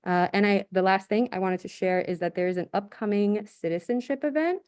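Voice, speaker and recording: A woman's voice: medium pitch, fairly quick, a little mellow, dry recording, studio quality. A woman giving an upbeat report as a school liaison over a video call. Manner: upbeat and enthusiastic. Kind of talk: meeting report.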